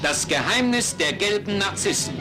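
A man's voice: sits high, animated, normal speed. A man in his thirties from Berlin narrating a vintage crime film trailer in an ominous, sensational, theatrical way. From Berlin, Germany. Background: dramatic film music.

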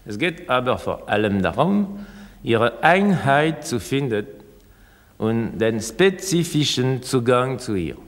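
A man lecturing in a scripted radio-essay dialogue, formal, deliberate and oracular as he expounds ideas. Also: mid-range voice, very animated. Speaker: thinker expounding ideas on sound. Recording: long reverberant hall.

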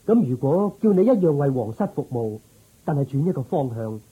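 A man's voice: medium pitch, big pitch swings, fairly quick, breathy edge, phone-quality sound. A man playing a loyal, reverent royal minister in a Cantonese radio drama, formal and deferential in his speech. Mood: confiding.

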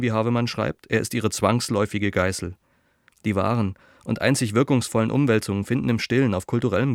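Actor plays earnest male dissident thinker, radio drama letter; rapid, low-pitched, articulate argument. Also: dry recording, clean studio sound, lightly acted. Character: earnest, cautious dissident intellectual man writing to friend. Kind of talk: radio play letter monologue.

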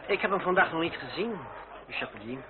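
A man acting a young revolutionary deputy and swordsman in an old radio drama. He speaks fairly high, with icy, ironic courtesy. The sound is tinny and narrow, with a crowd murmuring behind him. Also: animated, quick pace, lightly acted. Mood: dry, musing. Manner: formal, coolly mocking, ironic politeness. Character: sardonic young revolutionary deputy and deadly fencing master. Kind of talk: period radio play acting.

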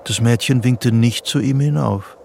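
An actor narrating a psychological thriller radio play as a sober storyteller. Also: male voice, mid-range voice, unhurried, gravelly, a little mellow, a little hiss or hum. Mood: sober, suspenseful. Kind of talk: scripted literary narration.

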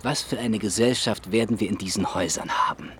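An actor playing a courteous, self-assured Regency gentleman suitor in a radio play, with a polished, persuasive, formal manner. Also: on the low side, brisk, a little hiss or hum, close to an everyday voice. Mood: wooing. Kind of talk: period radio drama acting.

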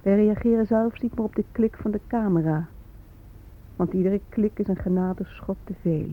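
A woman's voice: medium pitch, normal speed, lightly acted. Woman acting a weary, despairing voice, phone-like sound. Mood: despairing, resigned. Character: weary, despairing voice of oppressed Native people. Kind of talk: Dutch radio drama, poetic declamation. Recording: phone-like narrow old radio recording.

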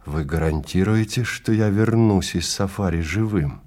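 A male actor voicing a nervous, excitable safari customer in an audio drama, with dramatic exclamations. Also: sits low, moderate pace. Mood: anxious.

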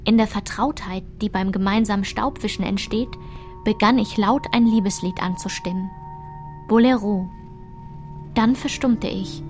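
An actress playing a woman who recalls her painful girlhood, narrating a literary radio drama in a measured, reflective way. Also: medium pitch, a little mellow, soft background music, natural voice.

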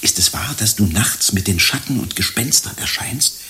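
An actor playing a naive, insecure young man in love in a radio play, earnest and halting, often repeating himself. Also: on the low side, animated, sharp tone, slight background noise. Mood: probing, scornful.

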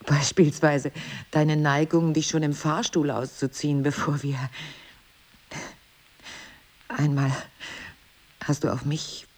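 An actress playing a guarded middle-aged wife in an audio drama, husky and breathy, tender and softly ironic. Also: female voice, sits low, level tone, a little slow. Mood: fond, hesitant. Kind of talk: intimate audio drama dialogue.

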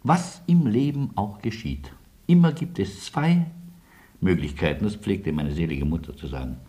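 An actor playing a wry, anxious middle-aged refugee in a radio play, reasoning with deliberate irony and old-world courtesy. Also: male voice, sits low, animated, mellow, slightly rough. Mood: wry, insistent. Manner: deliberate, pointed, ironic reasoning. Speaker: lead character and narrator. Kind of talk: theatrical radio play acting and narration.

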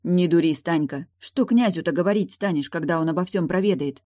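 Lady reading as young widow woman in a storybook, firm n curt, protective, dark soft-edged voice. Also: fairly low pitch, brisk, dry recording, clean studio sound, close to an everyday voice. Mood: urging, questioning.

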